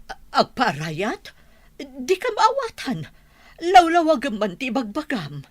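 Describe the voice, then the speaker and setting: A woman's voice: high-pitched, wildly swooping pitch, takes it slowly, exaggerated voice. A woman playing an anxious village madam fretting over her daughter in an Ilocano radio drama. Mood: demanding.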